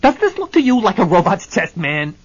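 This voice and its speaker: A man's voice: a little high, big pitch swings, moderate pace, husky, muffled recording, voice pushed up. A man doing a blustering, sputtering hothead. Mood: outraged. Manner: sputtering, shouting. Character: blustering, indignant hot-tempered man.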